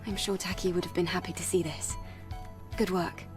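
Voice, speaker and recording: A woman's voice: on the low side, steady tone, moderate pace, recorded from a distance, natural voice. Actress as an earnest young warrior woman over music. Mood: pleased. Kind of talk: video game character acting.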